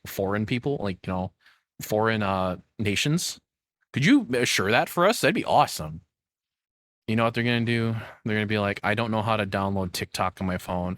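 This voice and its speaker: A man's voice: lowish voice, brisk, fairly bright tone, dry recording, studio quality. A man giving casual reaction commentary in a sarcastic, rambling way, swearing freely. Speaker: reaction streamer.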